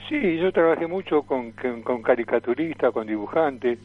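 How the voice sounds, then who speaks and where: Over a narrow phone line with faint noise, an elderly man with an Argentine accent speaks as a radio interview guest. He reminisces haltingly, repeating short phrases as he goes. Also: mid-range voice, animated. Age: 70s or older. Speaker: interview guest, veteran caricaturist and actor. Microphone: telephone. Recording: tinny, noisy phone line.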